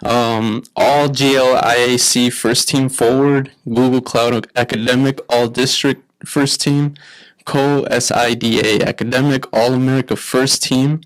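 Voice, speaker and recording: A man's voice: mid-range voice, steady tone, measured pace, poor audio. A man co-hosting a podcast, talking casually with lots of "like" and "um". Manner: casual, filler-heavy, reading out a list. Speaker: podcast co-host.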